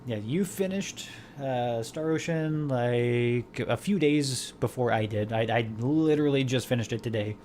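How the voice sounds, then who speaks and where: A man's voice: mid-range voice, unhurried, hardly any pauses, a little mellow, a little hiss or hum. Rambling male podcast host. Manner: rambling, many fillers and restarts. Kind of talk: casual chat.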